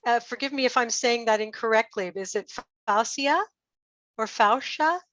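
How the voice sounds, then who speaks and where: Female host, fairly high voice, informal live delivery, dry but dull lo-fi call audio. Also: moderate pace, a bit bright. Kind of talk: informal live show hosting.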